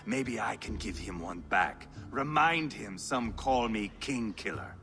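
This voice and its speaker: Swaggering Viking brute, crude, mocking, animated delivery, forceful edge, video game drama. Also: male voice, lowish voice, measured pace, big-room sound, faint music behind, full character voice. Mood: sardonic, boastful. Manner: taunting, swaggering. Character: brash, crude, mocking adult male Viking warrior.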